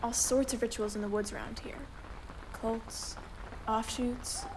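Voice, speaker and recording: A bookish teenage girl in a radio drama, fascinated by the occult. Her voice is very high, with faint rainy ambience around it. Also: steady tone, measured pace, background noise. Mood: earnest, mysterious. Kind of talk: scripted radio drama acting.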